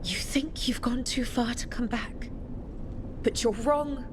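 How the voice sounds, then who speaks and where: A woman playing a gentle, forgiving elven woman in a video game, tender and imploring in a deliberate way. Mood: tender pleading. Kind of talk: dramatic video game cutscene acting. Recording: loud ambient rumble behind voice.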